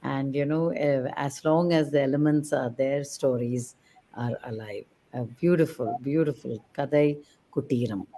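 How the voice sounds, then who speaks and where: A woman's voice: very deep voice, steady tone, measured pace, dark tone. A woman hosting a radio interview, effusive and full of praise, with many fillers. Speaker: radio show host. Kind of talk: interview talk.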